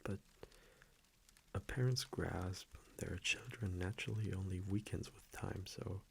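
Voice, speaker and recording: A man playing a gentle, confiding vampire boyfriend in an intimate ASMR roleplay, speaking hushed and hesitant and often slipping into a whisper. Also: low voice, unhurried, gravelly, slight background noise. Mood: quietly confiding.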